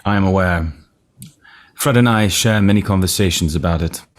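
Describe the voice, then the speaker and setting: Man playing a grave, deep-voiced, formal leader. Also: normal speed, close to an everyday voice. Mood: grave, polite. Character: grave, formal male leader preparing for the future. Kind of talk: screenplay table read.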